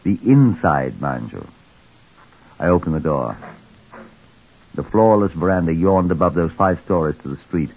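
A wry, deep-voiced chief inspector. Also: male voice, animated, measured pace, gravelly, pauses often, a little mellow, breathy edge, exaggerated voice. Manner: wry, reflective narration. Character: dry, shrewd male police chief inspector narrating. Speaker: narrator. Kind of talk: 1940s radio acting. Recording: lo-fi vintage radio recording.

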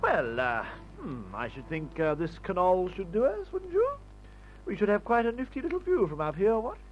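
A jaunty upper-class English airman from an old radio adventure serial. He drawls and blusters with a wide, bouncing pitch, on a dull, muffled recording. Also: big pitch swings, normal speed, faint music behind. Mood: breezy bluster. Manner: drawling, blustering, peppered with old-fashioned slang.

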